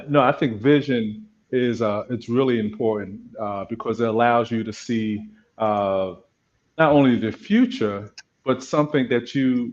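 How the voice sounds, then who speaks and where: A man from Brooklyn, a guest on a video call, speaking haltingly with many fillers and repeats. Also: on the low side, highs cut off. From Brooklyn, New York. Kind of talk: casual panel chat.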